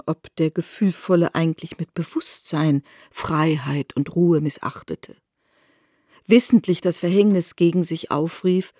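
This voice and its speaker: A woman reading scripted literary narration in a measured, formal manner. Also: on the low side, warm tone, husky, no echo, clean studio sound.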